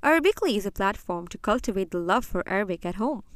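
A young woman, a graduate student, hosting an educational podcast, explaining in a measured way and speaking directly to parents. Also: medium pitch, lively intonation. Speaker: podcast host; graduate student in applied linguistics. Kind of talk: scripted educational podcast talk.

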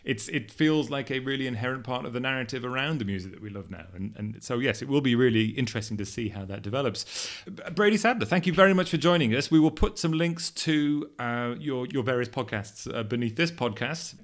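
A man hosting a podcast conversationally, with halting phrasing as he gathers his thoughts. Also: medium pitch. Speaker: podcast host, editor.

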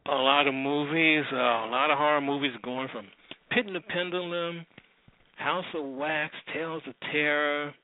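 A man's voice: medium pitch, dry recording. A man casually hosting a radio show in a loose, informal, rambling way. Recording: thin phone-like sound, dry.

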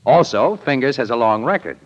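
A man acting a wisecracking, eager crime photographer in a 1940s radio drama, brisk, slangy and casual. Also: medium pitch, expressive, natural voice. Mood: assured. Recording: dull old-time radio recording.

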